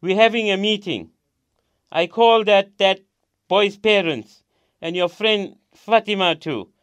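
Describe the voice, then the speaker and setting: A man's voice: high voice, unhurried, a bit bright, smooth, no room sound. A strict, angry father. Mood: stern. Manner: commanding, accusing. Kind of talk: audio drama acting.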